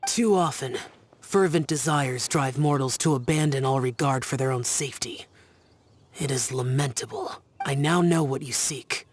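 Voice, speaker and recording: A man playing an aloof immortal guardian in a video game, youthful-sounding, formal, stern and measured. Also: medium pitch, lightly acted. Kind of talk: video game character acting.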